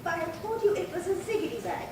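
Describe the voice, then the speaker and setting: A woman playing a fussy, indignant middle-aged burglary victim with a prim, folksy way of insisting, in a radio drama. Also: quick pace. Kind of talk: 1950s radio crime drama acting. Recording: echoey room, distant mic, noisy rumble.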